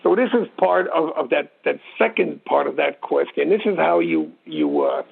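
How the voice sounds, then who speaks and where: A man with a gravelly, husky voice explaining history informally as an interview guest, rambling and digressing as he tells it. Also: fairly high pitch, very animated, normal speed. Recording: muffled narrow phone-like line.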